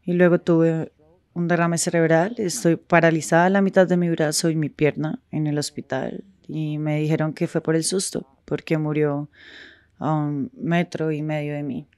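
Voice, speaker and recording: A woman speaking frankly in a street interview, with halting pauses and restarts. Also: sits low, little pitch movement, darkish tone, no echo.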